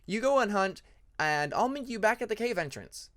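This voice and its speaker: A man voicing a gentle, polite young male dragon in a story, with a mild, friendly manner. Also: high-pitched, animated, normal speed, no echo, clean studio sound, pitched above the performer's own voice.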